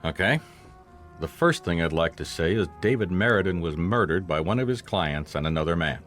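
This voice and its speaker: An actor playing a gruff, world-weary private investigator in a radio drama, dry and terse. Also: male voice, low voice, lively intonation, a little fast, fairly bright tone, soft background music. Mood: businesslike.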